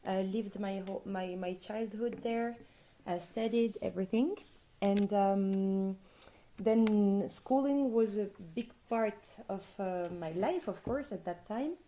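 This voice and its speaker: Mellow-voiced woman from France. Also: medium pitch, slow pace, poor audio. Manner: chatty, light laughs between phrases. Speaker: guest. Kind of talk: casual interview.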